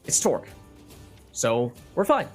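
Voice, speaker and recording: A man's voice: measured pace, faint music behind. A man talking through a card game run in a let's play, his voice still a little strained as it recovers. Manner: analytical, lively swings in pitch. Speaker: gaming YouTuber.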